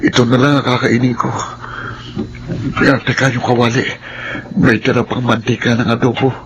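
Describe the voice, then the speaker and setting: A man's voice: mid-range voice, level tone, normal speed, close to an everyday voice. A man playing a timid, mistreated, hungry teenage boy in a Filipino radio drama, plaintive and polite, with heavy noise behind. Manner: plaintive, polite, self-pitying.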